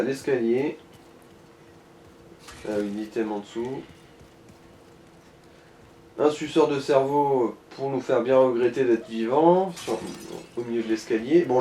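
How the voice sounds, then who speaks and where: A man's voice: mid-range voice, unhurried, pauses often, warm tone, a little hiss or hum. A man giving casual guidance during a video game, mixing wordy, mock-formal phrasing with slang. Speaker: co-player guiding the gamer. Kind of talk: casual gaming commentary. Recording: echoey room.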